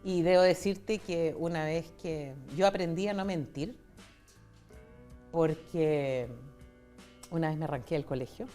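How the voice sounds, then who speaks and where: A woman's voice: low voice, unhurried, long pauses, dark tone, faint music behind. A Chilean woman in her sixties telling personal stories as an interview guest, in a candid, conversational way. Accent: Chilean Spanish. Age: 60s. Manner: candid, conversational, retells dialogue. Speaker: interview guest, foundation founder.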